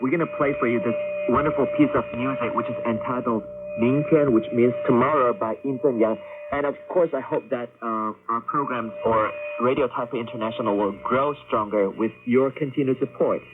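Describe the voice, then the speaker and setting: A man's voice: mid-range voice, fairly quick. A man co-hosting a radio program in a casual announcing style, wordy and hesitant, often repeating himself. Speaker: radio host. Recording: tinny shortwave radio broadcast, music behind.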